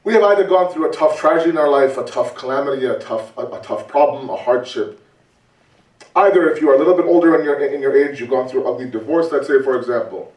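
A man giving a conversational religious talk, animated and full of rhetorical questions and repetition. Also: medium pitch, fast. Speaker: preacher. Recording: roomy, distant mic.

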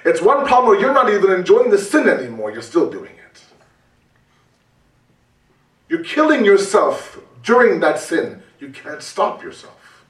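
Animated male preacher in an echoey room. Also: sits high, a little slow. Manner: conversational, animated, rhetorical questions, repeats for emphasis. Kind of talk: religious talk. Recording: roomy, distant mic.

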